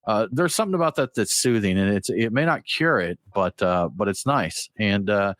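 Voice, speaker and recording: A man's voice: fairly low pitch, normal speed, dry recording, studio quality. A man hosting a beer podcast in casual, jokey chat. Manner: chatty, jokey, animated. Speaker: podcast host.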